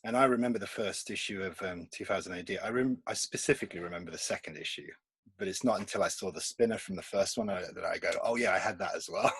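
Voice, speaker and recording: Man, 50s, husky low voice, dry close sound, rambling and laughing. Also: fast talker, fairly bright tone, no room sound. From England. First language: Polish. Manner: rambling, laughs often between thoughts. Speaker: comics artist guest. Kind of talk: casual remote podcast interview.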